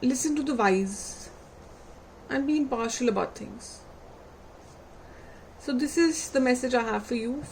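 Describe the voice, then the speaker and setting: Woman, tarot reader, high voice, gravelly fry, losing her voice, reflective, faint hiss. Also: darkish tone, rough recording. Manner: unhurried, reflective, asks viewers questions. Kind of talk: tarot card reading to viewers.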